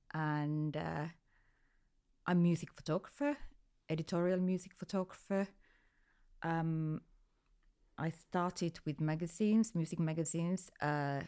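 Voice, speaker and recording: A woman from Finland in her forties with a Finnish accent, answering interview questions hesitantly, with many pauses and restarts. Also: low-pitched. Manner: hesitant, many ums and restarts, light laughs. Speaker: guest, music photographer.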